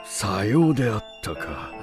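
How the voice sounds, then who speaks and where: A man's voice: lowish voice, big pitch swings, a little slow, faint music behind. An actor playing a wary, inquisitive old man in a radio drama, with solemn, archaic elderly speech. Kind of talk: radio drama acting of a ghost tale.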